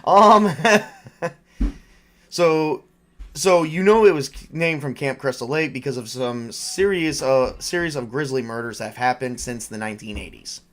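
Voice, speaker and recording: A man's voice: mid-range voice, measured pace, faint music behind. Male game master, mid-range voice, informal tabletop narration, conversational delivery.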